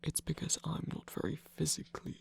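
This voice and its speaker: A performer of unclear sex voicing a seductive villain lover in an intimate roleplay ASMR, teasing and protective, with a husky, breathy, often whispered voice. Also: a little fast, dry recording, close to an everyday voice. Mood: playfully teasing.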